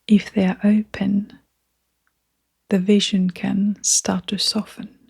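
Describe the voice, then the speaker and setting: A woman guiding a meditation in a soft, half-whispered voice, gentle and soothing, leaving long pauses between phrases. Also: medium pitch, level tone, creaky, slightly rough. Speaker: meditation guide. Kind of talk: guided meditation narration.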